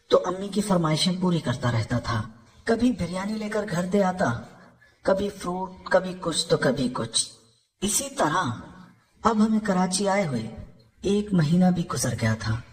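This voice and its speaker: A man's voice: high voice, expressive, a little slow. A man reading a scripted first-person story as its narrator. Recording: long hall-like reverb.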